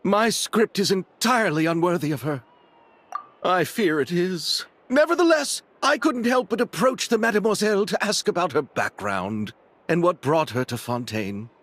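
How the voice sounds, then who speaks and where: A man's voice: high-pitched, animated, normal speed, fairly bright tone, slight background noise. A man playing a romantic, earnest film director in a video game, theatrical and effusive. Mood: rapturous. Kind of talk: animated video game voice acting. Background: music.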